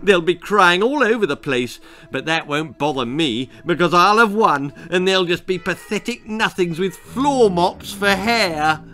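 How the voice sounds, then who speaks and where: A man's voice: high-pitched, lively intonation, measured pace, bright, forceful tone, soft background music, voice pushed up, full character voice. Man putting on a shrieking, spoilt little girl. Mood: gleeful boasting. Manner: screaming, scornful, crowing.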